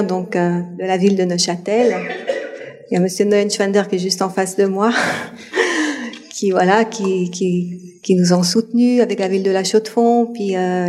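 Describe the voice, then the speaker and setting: French lady on a panel, lots of um and restarts, mellow tone, long hall echo. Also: medium pitch. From France. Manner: hesitant, many fillers and restarts. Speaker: panel guest. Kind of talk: public panel talk. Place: public hall with audience. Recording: reverberant hall.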